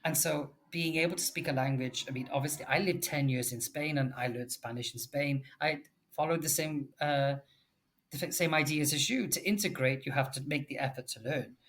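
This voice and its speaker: A man in his forties from Wales, with a Welsh English accent, chatting casually as an English teacher, animated and full of fillers. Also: on the high side, brisk. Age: 40s. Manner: chatty and animated, lots of fillers and self-corrections. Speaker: English teacher and podcast guest. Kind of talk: casual conversational chat.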